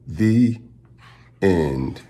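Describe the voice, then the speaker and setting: A man reading a children's story aloud as a storytime host, with dramatic, pausing phrasing aimed at young listeners. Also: sits low, animated, warm tone. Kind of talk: expressive picture-book read-aloud.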